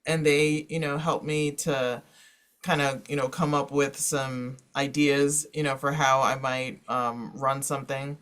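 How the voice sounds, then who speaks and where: A man's voice: medium pitch, little pitch movement, unhurried, fairly bright tone, clean tone. A man speaking informally on a panel as a librarian and teacher, in a hesitant, meandering way peppered with "you know".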